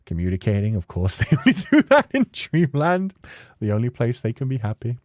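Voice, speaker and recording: A man's voice: mid-range voice, huge pitch swings, brisk, darkish tone, no room sound, clean studio sound. A man giving casual, emotional commentary on a story game, rambling informally through his analysis as a streamer. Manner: informal, swearing, rambling analysis with acted-out paraphrases.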